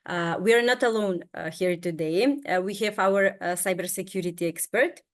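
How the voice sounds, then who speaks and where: A woman's voice: fairly low pitch, moderate pace. Woman introducing a webinar, filler-heavy. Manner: steady, with many filler sounds. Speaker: sales specialist.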